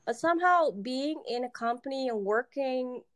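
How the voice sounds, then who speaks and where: A woman's voice: high voice, expressive, moderate pace, dry recording. A young woman from the Netherlands with a Dutch accent, chatting as a podcast guest, hesitant with many ums and frequent laughs. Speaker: podcast guest, software developer. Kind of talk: casual interview chat.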